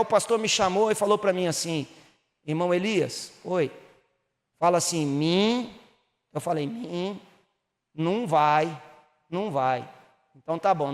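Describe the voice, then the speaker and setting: A Brazilian pastor from Minas Gerais with a Mineiro accent, preaching a sermon that rises to emphatic bursts and drops low again. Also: male voice, in a large hall. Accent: Mineiro Brazilian Portuguese. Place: church hall.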